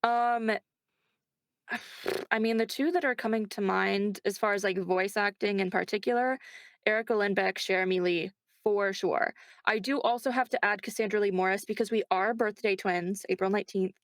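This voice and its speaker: A woman's voice: medium pitch, steady tone, normal speed, no room sound. A woman chatting casually as an interview guest, informal and reflective, often checking in as she explains. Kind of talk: casual chat, answering interview questions.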